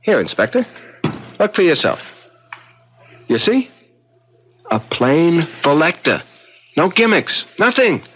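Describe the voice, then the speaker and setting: A man's voice: fairly high pitch, big pitch swings, slow, pauses often, a bit bright, slightly rough, exaggerated voice, low-quality recording. An actor playing an earnest, evasive man who reasons and protests in a placating way in a seventies radio drama. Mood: reasoning, protesting. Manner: earnest, placating, hesitant under pressure. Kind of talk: 1970s radio drama acting. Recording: hissy 1970s radio drama recording.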